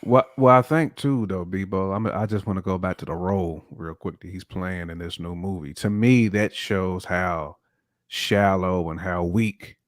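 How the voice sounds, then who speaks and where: A man's voice: deep voice, normal speed, no echo, clean studio sound. A man on a podcast panel talking in casual slang, emphatic and repeating phrases for punch. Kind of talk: casual banter, slangy commentary.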